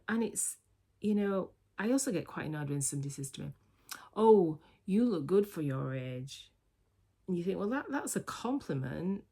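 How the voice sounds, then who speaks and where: A woman's voice: fairly low pitch, vocal fry, darkish tone, breathy edge. A woman in her sixties from the UK, chatting casually and reflectively to camera on her vlog, with filler words and restarts. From United Kingdom. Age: 60s. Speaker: vlogger.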